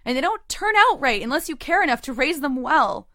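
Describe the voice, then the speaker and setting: A woman's voice: sits high, big pitch swings, brisk, sharp tone, no room sound, clean studio sound, heavily acted. A woman playing a hurt, resolute girlfriend in an audio drama, with an intimate, controlled and emotionally weighted delivery. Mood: firm, heated. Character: hurt, resolute girlfriend leaving her long-term boyfriend. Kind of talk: audio drama acting with first-person narration.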